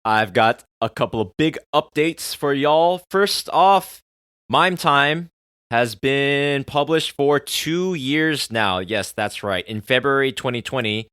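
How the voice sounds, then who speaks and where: A man in his thirties, a native Korean speaker, hosting a solo podcast and talking earnestly, moving between soft musing and loud excited bursts. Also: medium pitch, slow, clean tone, no room sound, studio quality. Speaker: podcast host.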